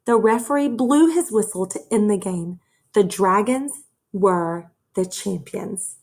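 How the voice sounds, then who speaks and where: A woman reads a children's story aloud at an unhurried, slow pace. Her voice is a little husky and sits at an average pitch. She tells it expressively, like a teacher with young listeners. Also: animated, a little mellow. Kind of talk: children's read-aloud narration.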